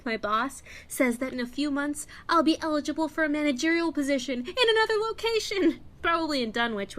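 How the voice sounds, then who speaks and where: A woman's voice: on the high side, fairly bright tone. A woman playing a chatty, outspoken young store clerk in a radio play, talking in a folksy, slangy, confiding way. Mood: chatty, frank.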